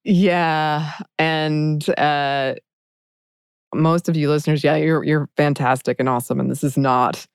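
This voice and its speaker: Low-voiced woman podcast host, smooth tone, dry close mic, earnest n kinda halting. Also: fairly even intonation, dry recording. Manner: earnest, reflective, halting with restarts. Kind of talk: candid conversational podcast chat.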